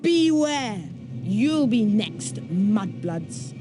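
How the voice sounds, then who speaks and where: A woman's voice: mid-range voice, sing-song, drawn-out words, music in the background. A performer, heard as a woman, playing a spiteful, gloating schoolchild in a video game. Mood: menacing, gloating.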